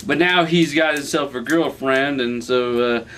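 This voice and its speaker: A man's voice: mid-range voice, a little slow, fairly bright tone, natural voice. A man acting a folksy, hard-drinking good old boy who talks in a hesitant, roundabout way in a comedy sketch. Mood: folksy, coy. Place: small indoor room, camera mic. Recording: distant camera mic, background room noise.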